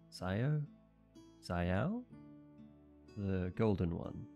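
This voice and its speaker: A man voicing a loyal, dim-witted young knight in an audio roleplay, earnest and naive, over soft music. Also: low-pitched, creaky, exaggerated voice. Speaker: voice actor.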